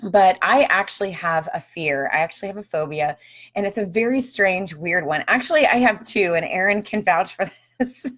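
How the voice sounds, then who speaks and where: Slightly hoarse woman chatting on a live stream. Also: on the low side, animated, normal speed, fairly bright tone. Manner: chatty and upbeat, with frequent ums. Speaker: author and marriage counselor hosting a live video. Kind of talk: casual live-stream chat. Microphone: phone or webcam on a live stream.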